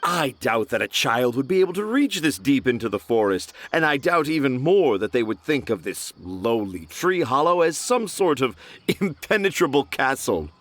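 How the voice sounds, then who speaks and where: A man's voice: mid-range voice, big pitch swings, moderate pace, a bit bright. A man voicing a pompous male writer in a game, grandiloquent and theatrical. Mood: grandiose. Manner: grandiloquent, self-satisfied, theatrical. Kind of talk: game voice acting.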